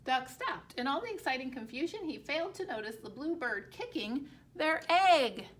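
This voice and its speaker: High, bright-voiced woman reading a picture book. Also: sing-song, normal speed, a little hiss or hum. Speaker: teacher reading aloud to children. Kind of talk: expressive picture-book narration.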